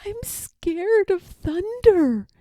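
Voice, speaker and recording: A woman's voice: very high-pitched, big pitch swings, extremely slow, dark tone, dry recording, pitched above the performer's own voice, full character voice. A woman voicing a timid, lonely six-year-old princess in a storybook, small and plaintive. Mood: frightened.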